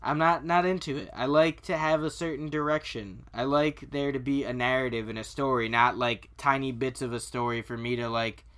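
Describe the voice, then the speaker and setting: A man chatting casually about video games as a podcast co-host, colloquial, opinionated and quick to swear. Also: medium pitch, steady tone, a bit bright, smooth.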